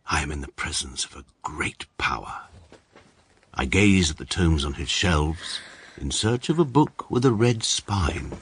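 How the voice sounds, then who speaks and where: A man's voice: a bass voice, lively intonation, husky, heavily acted. An actor with an English accent playing a precise, pompous barrister who narrates his own story in a radio drama. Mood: dry. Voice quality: measured, dry, pedantic. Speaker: narrator, adult lead character.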